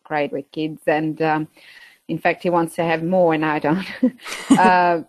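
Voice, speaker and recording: A woman in her thirties speaks with a Portuguese accent in a fairly low voice, recorded dry and close. She chats casually as an interview guest, pausing often with fillers. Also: level tone, no echo. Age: 30s. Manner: hesitant, many fillers, laughs between phrases. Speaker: guest, beef farmer.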